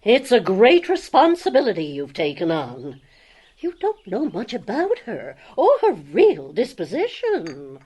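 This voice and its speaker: A woman's voice: mid-range voice, huge pitch swings, a little slow, mellow, husky, heavily acted. An actress playing a blunt, bossy, gossipy neighbor woman in a period drama, outspoken and opinionated. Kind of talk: audio drama acting.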